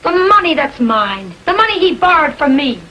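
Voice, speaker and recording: An actress playing a sharp-tongued, hot-tempered woman in a 1940s American TV crime drama, brassy, slangy and combative. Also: sits high, sing-song, normal speed, full character voice. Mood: defiant. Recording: noisy, dull 1940s film soundtrack.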